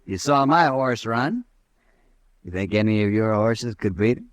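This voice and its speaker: A cocky, taunting army lieutenant. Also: male voice, fairly low pitch, lively intonation, a little slow, no room sound. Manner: swaggering, mocking, laughs at others. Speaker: cavalry lieutenant. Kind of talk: 1950s radio drama acting.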